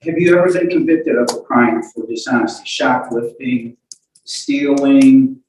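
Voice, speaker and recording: A man's voice: medium pitch, measured pace, a little mellow, slight background noise. A man speaking as a lawyer in a courtroom, cross-examining a witness with short, probing questions. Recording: distant, very echoey courtroom audio.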